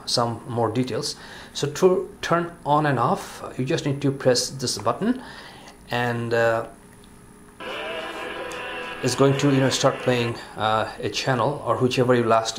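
An everyday man's voice of ordinary pitch talks through a gadget demo in a relaxed, rambling way, full of "you know" and "uh". He is off-screen in an indoor room, and a faint background hiss sits under his voice. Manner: relaxed, filler-heavy, says "you know" often. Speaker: product reviewer. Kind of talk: casual hands-on product demonstration.

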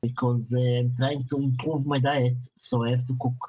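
A man in his late thirties with an Israeli accent, a guest speaking hesitantly with pauses over a video call. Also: mid-range voice, level tone, warm tone, breathy edge, lo-fi sound. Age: 30s. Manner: hesitant, plain phrasing with pauses. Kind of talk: casual interview chat.

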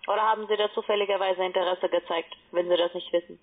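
A woman telemarketer giving an insistent, formal sales pitch by phone, with halting phrasing. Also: steady tone. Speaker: call centre telemarketer. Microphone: telephone call. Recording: narrow, tinny phone line.

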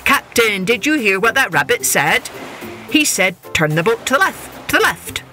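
A woman's voice: fairly high pitch, big pitch swings, moderate pace, sharp tone, soft background music. A woman voicing a vain, crafty male dog in a children's story, urgent and self-important. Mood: sly urgency.

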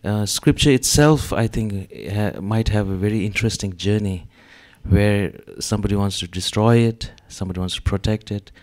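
A man with a lowish voice speaks on a panel before a seated gallery audience. He talks haltingly through a handheld mic, full of "uh" and "um". He sounds like a man in his thirties. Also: a little mellow. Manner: hesitant, many fillers. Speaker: panel artist. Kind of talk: panel discussion.

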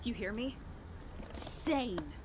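An actress playing a frantic, haunted woman on the edge of madness in a horror radio play, halting and overwrought. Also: fairly high pitch, animated, a little hiss or hum. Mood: grim, hushed. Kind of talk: 1940s radio horror acting, dramatic monologue.

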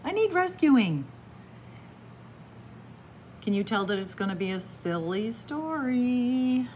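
Warm-up librarian type, middle-aged woman, bright higher voice, playful story reading in a library. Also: sing-song, slow, slight background noise. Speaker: children's librarian. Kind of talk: picture book read-aloud. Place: library, in front of bookshelves. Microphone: camera at close range.

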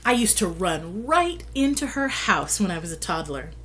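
A middle-aged woman reading a children's picture book aloud, with expressive, deliberate storytelling and drawn-out phrases. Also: medium pitch, noisy background. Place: small plain room indoors.

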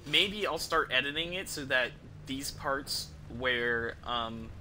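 A man's voice: mid-range voice, slow pace, bright, forceful tone, slight background noise, low-quality recording. A young man casually commentating on his game live, rambling and swearing as he thinks aloud. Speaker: game streamer.

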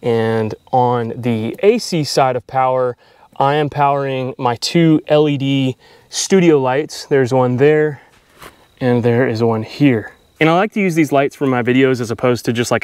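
A young man talking casually to his camera while camping, relaxed and chatty, with frequent laughs. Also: medium pitch, smooth, no room sound. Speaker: vlogger. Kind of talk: casual vlog narration. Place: in a tent and at a campsite in the woods. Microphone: handheld action camera.

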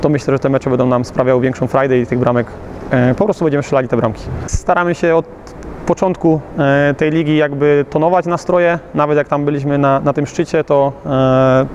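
A man in his thirties coaching youth football, speaking after a match in a slightly high voice. He stops often with fillers and restarts his phrases. A faint hum of the outdoors sits behind him. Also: fairly even intonation, brisk, darkish tone. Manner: hesitant, many fillers and restarts. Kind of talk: post-match interview. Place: outdoors beside a covered pitch.

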